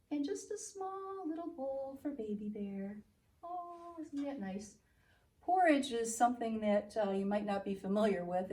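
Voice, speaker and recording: Very animated woman storyteller, roomy sound. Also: medium pitch, distant mic. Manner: exaggerated, expressive, addresses children. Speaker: preschool teacher reading a story. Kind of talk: children's storytelling. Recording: roomy, away from microphone.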